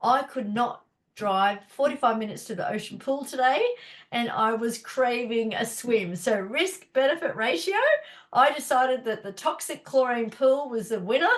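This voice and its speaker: A woman's voice: on the high side, moderate pace. A woman, a naturopath hosting a casual live teaching session, chatty and encouraging, her nose stuffy and blocked up. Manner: chatty, encouraging, many fillers.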